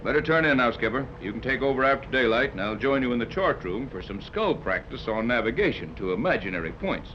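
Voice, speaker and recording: An actor playing a wry, skeptical yacht captain who gives clipped orders, in 1930s radio adventure style. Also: male voice, medium pitch, animated, quick pace, hardly any pauses, close to an everyday voice. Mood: brisk, commanding. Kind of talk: 1930s radio serial acting. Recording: muffled, hissy 1930s radio transcription.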